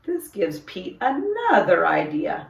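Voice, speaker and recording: A woman hosting a storytime, reading a children's picture book aloud in an expressive, deliberate way. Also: fairly low pitch, creaky. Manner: expressive, deliberate, puts on character dialogue. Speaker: storytime host, reader. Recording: roomy echo, distant microphone.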